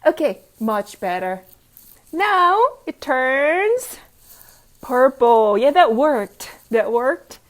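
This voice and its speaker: A woman's voice: high voice, very animated. A woman teacher reading a picture book aloud to children, playful and interactive, asking questions, repeating phrases and adding exclamations and sound effects. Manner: repeats phrases slowly for young learners.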